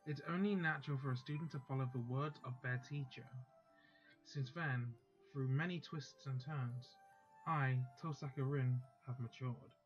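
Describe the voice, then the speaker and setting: A young man reading visual novel text aloud for a playthrough video, halting now and then to check how to say a word. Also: mid-range voice, fairly even intonation, muffled recording. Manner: halting read-aloud, stops to check pronunciation. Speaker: gaming YouTuber. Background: faint background music.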